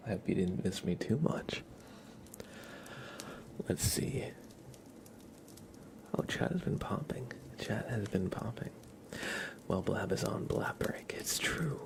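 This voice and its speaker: A man hosting an ASMR livestream, chatting playfully and softly with viewers, often slipping into whispers and rambling as he goes. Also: lowish voice, animated, slow, creaky, long pauses. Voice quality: soft, often breaking into whispers. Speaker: livestream host. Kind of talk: ASMR livestream chat, reading and answering viewer comments.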